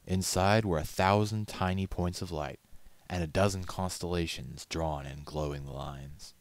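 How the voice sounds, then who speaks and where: A man reading a story aloud as narrator, in a measured, solemn, dramatic audiobook style. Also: low voice, steady tone, no echo.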